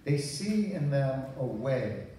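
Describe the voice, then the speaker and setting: Older guy reading at church, mellow, careful and slow, lots of echo. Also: medium pitch, big pitch swings. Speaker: reader at a church service. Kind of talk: reading aloud from printed text. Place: small church sanctuary. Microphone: handheld microphone, filmed from audience. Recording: echoey hall, distant mic, faint noise.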